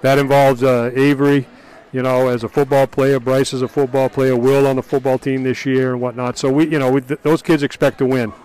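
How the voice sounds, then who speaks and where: Gym-side coach in a headset. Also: male voice, mid-range voice, level tone, darkish tone, smooth, poor audio. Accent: Maine. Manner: measured, thoughtful, many fillers. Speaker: basketball coach. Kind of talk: postgame interview. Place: school gym, indoors. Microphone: headset mic.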